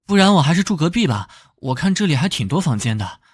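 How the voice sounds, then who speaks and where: An actor playing a protective, easily flustered young policeman in an animated drama, expressive and exclaiming, with a teasing big-brother manner. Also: on the high side, fast talker, slightly rough, dry recording, studio quality.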